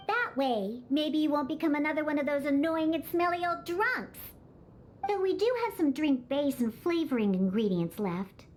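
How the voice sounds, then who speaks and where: High-pitched, huffy little catgirl bartender. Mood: grudging, huffy. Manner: huffy, haughty, sulky. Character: grumpy young catgirl bartender who despises alcohol. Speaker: video game character. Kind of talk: animated game voice acting. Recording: music behind the voice.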